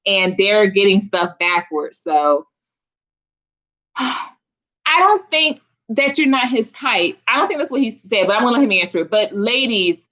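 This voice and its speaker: Bright-voiced woman chatting live. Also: medium pitch, expressive, unhurried, rough recording. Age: 40s. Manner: chatty and informal, addresses viewers as sis and honey. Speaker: host of a live chat stream. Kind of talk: casual live chat with viewers.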